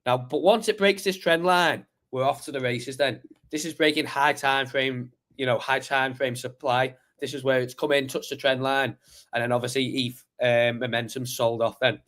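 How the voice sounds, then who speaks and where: Male streamer, croaky voice, mid-pitched, rambling casual chart talk. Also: steady tone, moderate pace, fairly bright tone. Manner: chatty, rambling, many fillers and restarts. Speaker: crypto livestream host. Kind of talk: casual live chart analysis.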